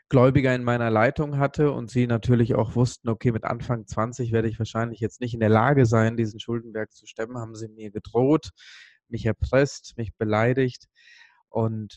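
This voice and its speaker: Mellow-voiced man in an earnest motivational monologue. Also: medium pitch, dry recording. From Sri Lanka. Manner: earnest, emphatic, pausing for weight. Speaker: speaker on a business podcast. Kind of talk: motivational monologue with personal story.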